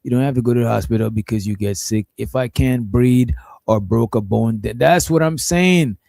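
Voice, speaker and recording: A man's voice: medium pitch, moderate pace, creaky, warm tone, no echo. A man hosting a casual stream, reacting to a clip in a laid-back, profane, rambling way.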